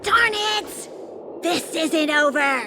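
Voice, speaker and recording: Tiny high-pitched gangster boss creature. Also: female voice, expressive, over music, exaggerated voice. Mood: furious. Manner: commanding, menacing, deliberate. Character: small, high-voiced, imperious creature playing a gang boss. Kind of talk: video game voice acting.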